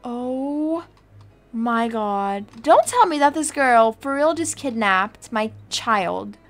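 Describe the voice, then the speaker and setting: Casting: woman as a worried, chatty adoptive mum in game roleplay; high-pitched, unhurried, thinks aloud over music. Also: sing-song, heavily acted. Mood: puzzled, alarmed. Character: worried adoptive mother, chatty, casual, easily alarmed. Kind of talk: improvised video game roleplay.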